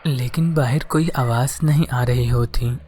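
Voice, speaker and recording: Male narrator for a cartoon horror tale, mellow and dark-toned. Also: mid-range voice, measured pace, slight background noise. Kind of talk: scripted storybook narration.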